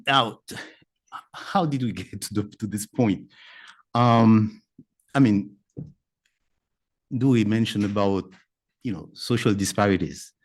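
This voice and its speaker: A man from Haiti, a physician speaking on a conference panel in a measured, thoughtful way with pauses and fillers. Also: fairly low pitch, darkish tone. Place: conference breakout room.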